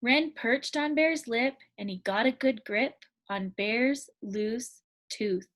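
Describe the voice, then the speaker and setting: A woman with a high, light voice reads a children's picture book aloud, taking her time. She sounds like a teacher at storytime, clear and careful with each line. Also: a bit bright. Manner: slow, clear storytime reading.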